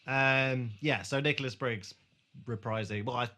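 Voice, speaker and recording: A man chatting casually as a podcast host, recapping a TV drama in a halting way with searching pauses. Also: mid-range voice, steady tone, pushed and bright.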